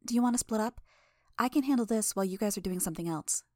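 A woman reading an audiobook, voicing a confident, sharp-tongued young woman who talks briskly. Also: medium pitch, no echo, clean studio sound, voice pushed up, lightly acted.

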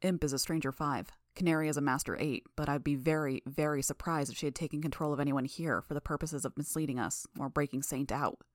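A woman's voice: sits low, fairly even intonation, fast talker, few pauses, dry recording, clean studio sound, natural voice. A woman reading an audiobook, voicing an armored adult man who speaks in a clipped, matter-of-fact way. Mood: serious.

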